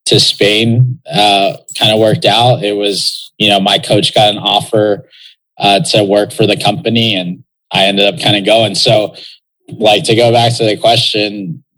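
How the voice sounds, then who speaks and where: A man's voice: fairly low pitch, little pitch movement, measured pace, fairly bright tone, low-quality recording. A young man from Texas, a pro soccer player talking as an interview guest in a loose, reflective way, with lots of fillers. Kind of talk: casual interview talk.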